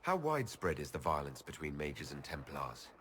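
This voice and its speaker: A man's voice: rumbling low, big pitch swings, moderate pace, a bit bright, slight background noise, heavily acted. A man playing a level-headed, diplomatic hero who leads and asks questions in a video game. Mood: composed, questioning.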